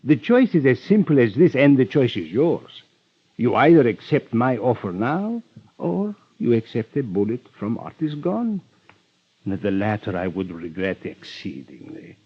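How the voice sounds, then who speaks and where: Ruthless, flowery-mannered mastermind, vintage radio crime serial, lively intonation, dull old-broadcast sound. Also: male voice, mid-range voice, a little slow, husky. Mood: menacing, polite. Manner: courtly, flowery, wheedling politeness. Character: suave, ruthless male criminal mastermind, flattering and menacing. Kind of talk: 1950s radio drama acting.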